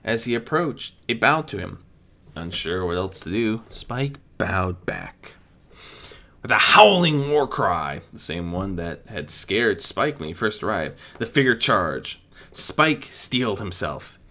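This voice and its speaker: A man's voice: on the low side, sing-song, slow, bright, forceful tone. A man reading a scripted adventure story as narrator, dramatic in delivery, with an amateur's occasional stumble.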